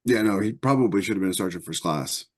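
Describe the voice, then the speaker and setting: A man's voice: low-pitched, expressive, quick pace. A man chatting casually on a podcast panel, often hesitating and repeating himself as he goes. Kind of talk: casual chat.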